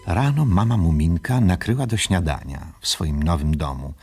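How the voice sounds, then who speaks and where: A man with a husky, raspy voice reading scripted storybook narration as the narrator of a radio play. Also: low voice, expressive, moderate pace, a little mellow, soft background music.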